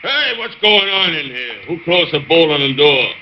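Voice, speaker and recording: A man playing a gruff, indignant bathhouse masseur in a 1940s radio drama, with blunt, clipped working-class speech. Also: on the high side, sing-song, moderate pace, background noise. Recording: muffled old-time radio recording.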